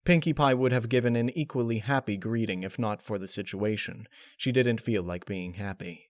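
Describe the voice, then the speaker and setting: A man narrating a scripted dark fan fiction story with dramatic storytelling delivery. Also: fairly low pitch, normal speed, no room sound, clean studio sound.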